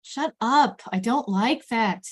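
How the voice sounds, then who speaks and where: A woman's voice: fairly high pitch, slow. A woman playing an anxious, touchy, easily confused boy at a screenplay table read. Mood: offended.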